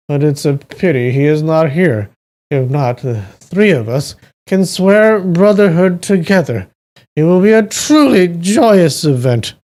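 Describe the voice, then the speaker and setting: Man acting a high, slurring drunk young nobleman. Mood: drunken longing. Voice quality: slurred, drawn-out drunken speech. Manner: effusive, loudly declaiming. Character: drunk, elated young nobleman, effusive sworn brother. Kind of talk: audiobook character voice.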